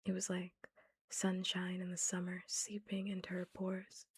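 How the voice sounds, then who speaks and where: A woman's voice: fairly low pitch, completely flat delivery, a little slow, husky. A young woman whispering through an ASMR-style book reading, chatting casually about the story with frequent "like" in between. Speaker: book reader.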